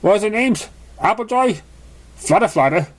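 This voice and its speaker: A high-voiced man reads fiction aloud as scripted narration. He is an amateur reader who trips over the occasional word and carries on with the story. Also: animated, normal speed. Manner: stumbles over words while reading.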